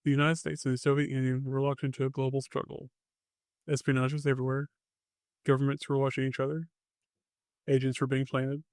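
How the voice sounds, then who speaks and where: Gravelly man narrating a mystery podcast. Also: mid-range voice, monotone, fairly quick, long pauses, darkish tone, dry recording, clean studio sound. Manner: wry asides, short dramatic phrases. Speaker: podcast host.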